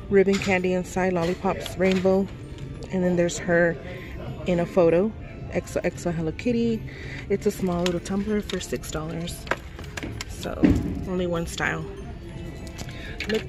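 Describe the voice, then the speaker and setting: A woman with a slightly low voice talks through a store aisle, naming products and prices as she goes. She films on a handheld phone. Loud background music sits under her voice. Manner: reads out products and prices, soft laughs. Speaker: vlogger. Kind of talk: casual shop-with-me narration. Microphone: phone held while filming. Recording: music overlay loud behind voice. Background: store noise and music.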